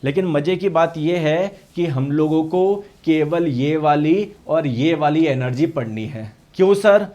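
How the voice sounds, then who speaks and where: A male science teacher with a hoarse voice, lecturing an online exam-prep class. He asks questions and answers them himself, and he repeats each term in Hindi and English. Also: fairly high pitch, measured pace, few pauses, mellow, dull audio. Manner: addresses students as friends.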